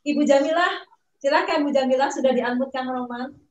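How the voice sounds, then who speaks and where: A woman's voice: high voice, a little fast, highs cut off. A woman in her forties moderating an online question-and-answer webinar. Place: online video meeting. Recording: roomy, distant mic, faint noise.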